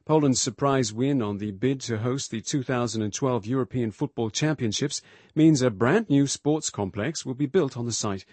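A man presenting a radio news program, reading scripted links in a smooth, even way. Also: medium pitch, normal speed, dry recording.

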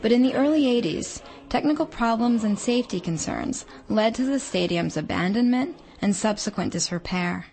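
A woman radio reporter reading a scripted feature report in a measured, descriptive way. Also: on the high side, lively intonation. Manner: measured, descriptive storytelling delivery.

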